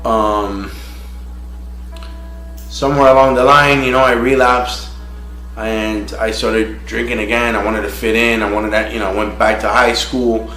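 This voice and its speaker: A man from Miami sharing his personal recovery testimony in a frank, halting way, with many "you know" fillers. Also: fairly low pitch, fairly even intonation, sharp tone. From Miami, United States. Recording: roomy echo with faint music.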